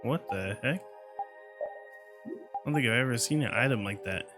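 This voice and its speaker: A man does casual live game commentary with playful, slangy reactions to what is on screen. Game music plays clearly behind him. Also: medium pitch, moderate pace. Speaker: game streamer.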